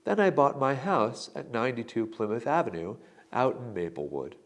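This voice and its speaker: A man giving slow, deliberate sample answers in a scripted lesson. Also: medium pitch, very animated. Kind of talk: scripted instructional narration.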